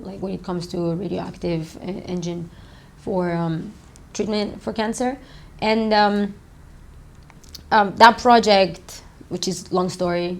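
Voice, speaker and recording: A woman's voice: fairly low pitch, darkish tone. A woman in her thirties from Romania, the founder of a startup, answering interview questions in a loose, rambling way with many "um"s and "like"s, in an office.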